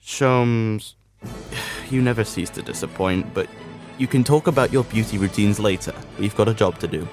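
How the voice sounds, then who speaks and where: An actor playing a sensible, long-suffering doctor and detective's sidekick with a dry manner, in a comic radio drama. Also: male voice, fairly low pitch, moderate pace, natural voice. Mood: weary, urgent. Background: music.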